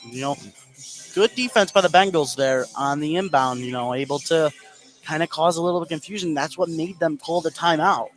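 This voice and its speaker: A man's voice: a little high, fairly quick, soft background music, poor audio. An American man giving conversational color commentary courtside during a live basketball broadcast.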